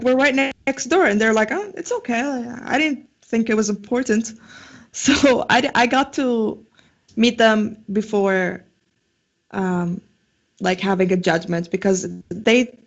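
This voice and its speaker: Young syrian woman, gravelly voice, says like and um a lot, kinda muffled audio. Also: on the high side. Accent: Syrian Arabic. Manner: chatty, lots of "like" and "um". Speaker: guest. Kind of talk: casual interview talk.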